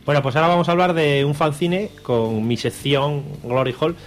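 A man with an accent from Spain speaks in a fairly high voice. He chats off the cuff as a radio co-host, colloquial and irreverent, joking his way through what he presents. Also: normal speed, soft background music. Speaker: radio show co-host and fanzine reviewer. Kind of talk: casual, unscripted banter. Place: radio studio.

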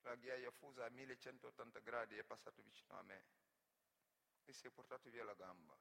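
An actor playing an earnest, wounded migrant worker in an emotive theatrical monologue. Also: male voice, medium pitch, steady tone, brisk, vocal fry, bright, forceful tone. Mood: subdued, grave. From Senegal. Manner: emotive, storytelling delivery with repeated phrases. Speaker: stage actor and storyteller. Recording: reverberant hall.

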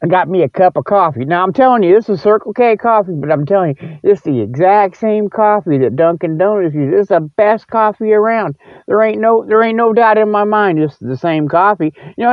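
High-pitched folksy man, rambling radio host. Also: no echo, phone-quality sound. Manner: folksy, colloquial, teasing the listeners. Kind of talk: casual rambling comic monologue. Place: cold spot, apparently outdoors.

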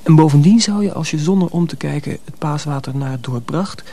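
Higher-voiced, earnest teenage apprentice boy. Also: a little fast, mellow. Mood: wistful, reminiscing. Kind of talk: Dutch radio drama acting.

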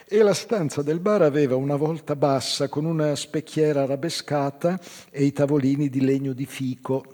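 An actor as a husky, melancholy old whaler reminiscing. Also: male voice, on the high side, dark tone, natural voice. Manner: measured, reflective, theatrical storytelling. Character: melancholy old Azorean whaler recalling his youth. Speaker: actor in a radio theatre monologue. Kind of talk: literary dramatic monologue. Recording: reverberant stage hall, guitar music behind. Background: live guitar music.

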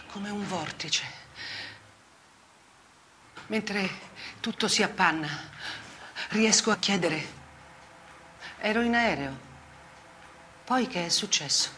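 An older actress playing a haunted, bitterly ironic woman journalist in a theatrical radio monologue, speaking deliberately and emphatically. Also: lowish voice, bright, forceful tone, a little hiss or hum. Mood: weary, dazed. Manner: emphatic, deliberate delivery with rhetorical repetition. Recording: music bed behind the voice. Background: plucked guitar-like music.

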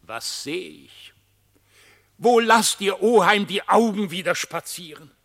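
Indignant preachy badger in an old verse radio drama, exclaiming, very reverby. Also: male voice, high voice, slow pace, gravelly, a bit bright, full character voice. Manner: reproachful, exclaiming in stretched verse. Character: indignant pious badger scolding his thieving uncle. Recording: long echoing reverb.

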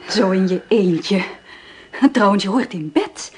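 A woman's voice: medium pitch, takes it slowly, a little mellow, a little hiss or hum, lightly acted. An actress playing a nervous young mother in a radio play, who stammers and hurries to dodge questions. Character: nervous young mother Sylvie, evasive and jumpy.

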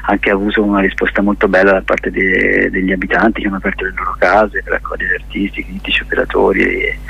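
Low, husky, creaky man, thoughtful. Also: completely flat delivery, sharp tone, no room sound, lo-fi sound. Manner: halting, thoughtful, searching for words. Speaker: festival director, interview guest. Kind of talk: radio interview answers.

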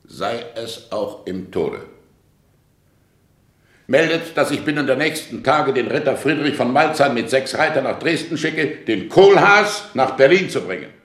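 A stern, authoritative court president in a radio play. He declaims formally and firmly in a medium-pitched voice. The chamber around him sounds roomy and echoing. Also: sing-song, unhurried, fairly bright tone. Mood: firm, defiant. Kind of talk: German radio play acting.

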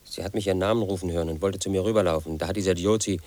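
Guy doing a paranoid loner in his 30s, low voice, flat and brooding, radio play monologue. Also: very fast, no room sound, close to an everyday voice. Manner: flat, brooding, quietly obsessive. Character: brooding paranoid man in his late thirties. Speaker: narrator of his own story.

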